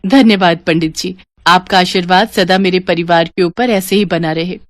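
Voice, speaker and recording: A woman's voice: on the low side, steady tone, moderate pace, no echo, studio quality, natural voice. Woman acting an earnest, devout, determined wife. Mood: grateful. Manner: earnest, reassuring. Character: devout, devoted young mother and wife, determined.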